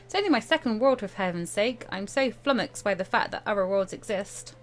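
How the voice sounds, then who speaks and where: A woman's voice: mid-range voice, lively intonation, brisk, lightly acted. A woman voicing a young female student in a visual novel, flippant, easily bored and flustered, with a hesitant, whiny, rambling manner. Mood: flustered, indifferent. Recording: music behind the voice.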